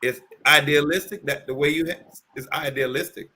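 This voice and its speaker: A man hosting a talk show, answering his guest in halting, casual discussion. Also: on the high side, bright, forceful tone. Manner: halting, restarts then lays out ideas.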